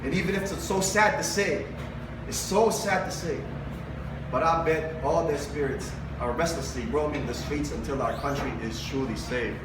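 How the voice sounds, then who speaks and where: A Filipino man with a high-pitched voice performs spoken word at a live event, mixing poetry with casual stage talk. The recording is distant and roomy, with clear background noise. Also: normal speed. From Philippines. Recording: roomy, distant mic, noisy live venue.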